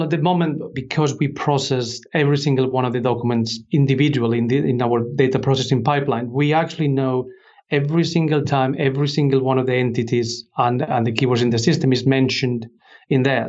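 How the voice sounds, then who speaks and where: A man's voice: medium pitch, fairly even intonation, fairly quick, a little mellow, muffled recording. A man speaking as an expert interview guest, explaining conversationally and posing questions that he then answers.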